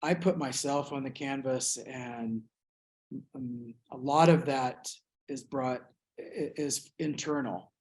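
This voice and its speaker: A man from the United States, an artist reflecting on his work in an interview, speaking thoughtfully with many pauses and restarts. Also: mid-range voice, a little mellow.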